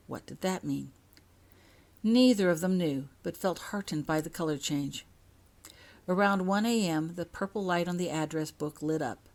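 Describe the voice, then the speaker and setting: A woman's voice: deep voice, a little mellow. A woman narrating a scripted story as an audiobook, reading her own fiction.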